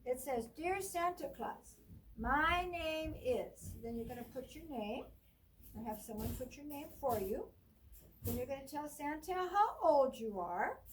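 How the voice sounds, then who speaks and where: Soft-spoken storytime librarian, slow and distant. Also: female voice, high voice, animated, slight background noise. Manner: gentle sing-song reading, dropping to whispers. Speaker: children's librarian. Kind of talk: children's storytime read-aloud.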